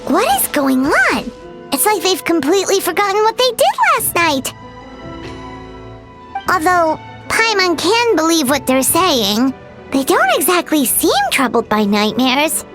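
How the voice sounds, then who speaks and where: Squeaky, excitable tiny fairy companion. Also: female voice, measured pace, music in the background, full character voice. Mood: puzzled. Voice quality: squeaky, childlike. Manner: excitable, refers to self in third person. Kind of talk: anime-style video game acting.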